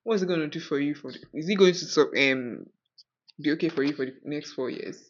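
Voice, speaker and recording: A woman's voice: sits low, brisk, lo-fi sound. A woman with a Nigerian accent hosting a podcast, talking casually and outspokenly with rhetorical questions. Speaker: podcast host. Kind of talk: casual conversational podcast talk.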